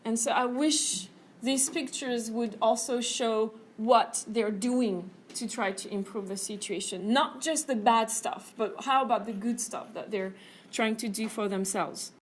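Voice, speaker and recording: A woman's voice: a little high, a little slow, a little hiss or hum. Earnest woman teacher lecturing a class. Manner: earnest, many fillers and tag questions.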